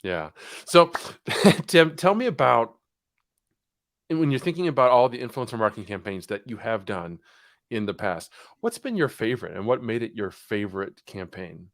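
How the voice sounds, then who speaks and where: A man's voice: medium pitch, expressive, moderate pace, no room sound, studio quality. A man hosting an interview show, asking questions and recapping takeaways for listeners in a friendly, joking way.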